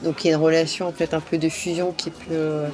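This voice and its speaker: A woman's voice: sits low, little pitch movement, fast, a little mellow. A woman from France answering questions in a street interview outdoors at a summer event, hesitant, with many fillers and restarts. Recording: outdoor event with crowd noise. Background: crowd chatter.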